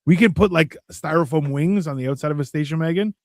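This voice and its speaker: A man with a fairly high voice rambles through sarcastic bits, often stopping and restarting. The sound is dry, with no room echo, and he keeps a loose bantering manner. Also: fast. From United States. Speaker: podcast co-host. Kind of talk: casual banter.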